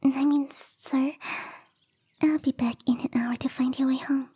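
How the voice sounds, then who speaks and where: A woman voicing a lonely, bubbly young female snow spirit, soft and whispery, in a sweet, pleading ASMR roleplay. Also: sits high, steady tone, measured pace, husky, heavily acted. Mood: sad, resigned.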